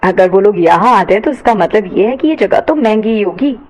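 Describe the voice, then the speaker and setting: A woman's voice: medium pitch, lively intonation, brisk, darkish tone, faint music behind. A woman reading scripted narration for an audio story.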